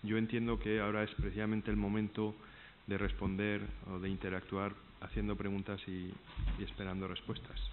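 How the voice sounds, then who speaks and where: A man's voice: fairly low pitch, monotone, a little fast. A man moderating a conference panel, hesitant and searching for words as he opens the floor to questions. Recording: tinny phone-like sound with faint noise.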